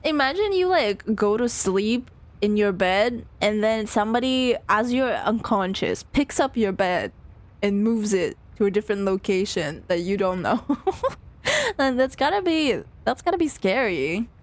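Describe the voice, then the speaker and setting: A woman's voice: mid-range voice, expressive, measured pace. A woman reading a visual novel aloud on a playthrough, breaking off into giggly, amused asides.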